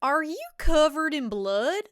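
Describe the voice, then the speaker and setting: A woman's voice: sits high, lively intonation, slow, a bit bright, dry recording, studio quality, voice pushed up, heavily acted. A woman voicing a hapless, lovelorn man in an audio drama, eager, naive and earnest as a puppy. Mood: puzzled, eager.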